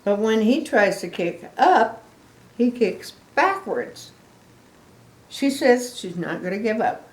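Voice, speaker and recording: An older woman tells a children's story at a slow pace, with a very lively rise and fall. She speaks in simple explanatory phrases, and the room is echoey. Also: a little high. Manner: simple, explanatory phrases addressed to young listeners. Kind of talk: animated picture book read-aloud with asides.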